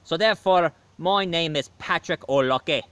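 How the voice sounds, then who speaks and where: A man's voice: on the high side, normal speed, fairly bright tone, clear, resonant tone, no echo, natural voice. A man doing a cheeky Irish leprechaun. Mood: jolly. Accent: put-on Irish. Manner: jokey, rambling with fillers. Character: cheeky male leprechaun reviewer. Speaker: movie reviewer.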